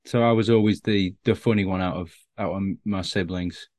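Self-deprecating man with a softened Scouse accent. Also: low-pitched, fairly even intonation, a little fast, no room sound. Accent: Liverpool (Scouse), softened. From Liverpool, England. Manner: self-deprecating, rambling with many restarts. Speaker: stand-up comedian guest. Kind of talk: casual interview chat.

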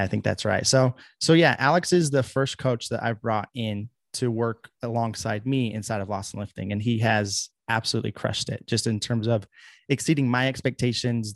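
A young man hosting a fitness podcast, talking in an upbeat, energetic way with plenty of fillers. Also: on the low side, a little fast, no echo. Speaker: podcast host. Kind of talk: casual podcast chat.

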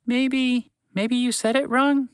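A man's voice: very high-pitched, little pitch movement, slow, clean tone, dry recording, clean studio sound, voice pushed up. A man voicing a small, earnest male mouse who pleads and talks a lot. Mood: puzzled. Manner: pleading, talkative.

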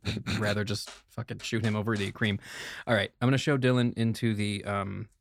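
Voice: mid-range voice, level tone, brisk, creaky, dry recording, clean studio sound. A player at a tabletop game, chatting and teasing the others. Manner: playful, teasing.

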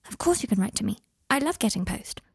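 A woman voicing a brisk, clever and kindly witch in an audiobook, articulate and earnest. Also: mid-range voice, very animated, creaky, husky, no echo, studio quality, exaggerated voice. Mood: touched, kind. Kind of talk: audiobook character voice.

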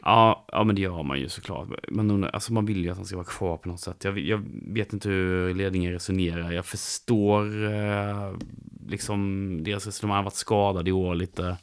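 Podcast host guy, lowish voice, chatty, lots of ums. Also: steady tone, fairly quick, clear, resonant tone. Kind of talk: casual chat and interview.

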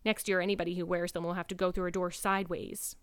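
A woman's voice: fairly low pitch, flat delivery, fast, smooth, no echo, studio quality, lightly acted. Woman playing a stiff, scolding practical older guardian. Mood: stiff, scornful.

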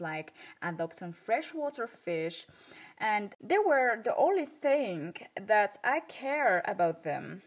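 A woman with a Moroccan accent hosting a self-improvement podcast, telling her personal story in an earnest, emphatic way. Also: mid-range voice.

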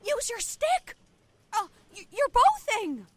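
A woman's voice: very high-pitched, big pitch swings, slow pace, noisy background, full character voice. A woman playing a stubborn, grumpy survivor in a zombie audio drama, snappy and sarcastic. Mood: panicked.

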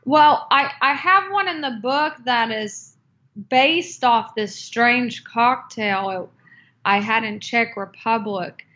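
A woman talks slowly and tentatively, restarting her sentences and filling the gaps with ums. Her voice sits a little high. The audio is muffled and lo-fi. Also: sharp tone. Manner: slow, hesitant, with many fillers and restarts. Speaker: guest, cocktail book author. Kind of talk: unscripted interview answers. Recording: dull lo-fi audio.

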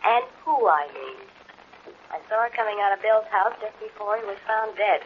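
A woman's voice: mid-range voice, lively intonation, normal speed, natural voice. An actress playing a tough, slangy American gangster's girlfriend, hard and threatening, in a 1940s radio drama. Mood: menacing. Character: tough, brassy gangster's girlfriend demanding hush money. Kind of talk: 1940s radio detective acting. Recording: dull, noisy 1940s radio recording.

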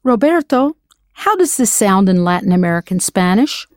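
Female narrator, English-speaking, clear and encouraging, dry studio sound. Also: medium pitch, big pitch swings, darkish tone, no echo. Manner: clear, encouraging, slows down to model pronunciation. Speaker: language teacher. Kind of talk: scripted audio lesson narration.

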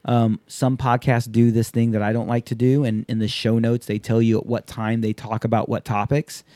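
Man, 30s, podcast host; casual, profane, animated storyteller; mellow tone, dry close recording. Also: medium pitch, moderate pace, no echo. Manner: loose, profane, animated storyteller acting out quotes. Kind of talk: casual chat.

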